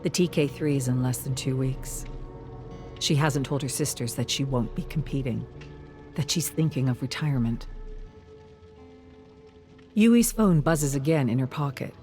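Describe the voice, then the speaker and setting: A narrator reads a dramatic story in a medium-pitched voice. The delivery is measured and wistful, with faint music underneath.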